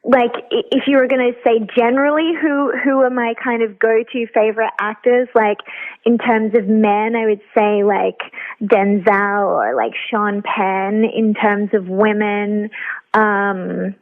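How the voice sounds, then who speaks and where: A woman's voice: medium pitch, fairly even intonation, unhurried. Woman on a thin phone line. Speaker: interview guest, actor. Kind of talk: casual conversational interview. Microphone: phone call.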